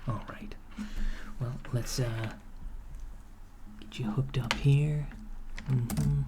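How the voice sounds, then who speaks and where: A man playing a calm, deadpan recruiter of henchmen in an ASMR roleplay, speaking softly and hesitantly, often near a whisper. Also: mid-range voice, extremely slow, noisy background. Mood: polite, businesslike. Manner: hesitant, deadpan, reassuring office politeness. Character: calm, matter-of-fact villain's henchman recruiter, male, mildly awkward. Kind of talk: ASMR roleplay, soft-spoken job interview. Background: computer keyboard typing.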